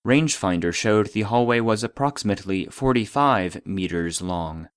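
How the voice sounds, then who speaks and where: A man acting as a database narrator with a low voice and a very dry, close sound. He reads formal case reports with clinical detachment and precise phrasing. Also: expressive, moderate pace, smooth, no room sound, close to an everyday voice. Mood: detached, clinical. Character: formal male database voice reading case logs.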